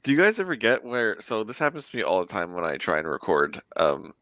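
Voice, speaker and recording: A man's voice: lowish voice, fairly quick, fairly bright tone, dry recording. A man talking casually and informally in a group conversation. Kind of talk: casual chat.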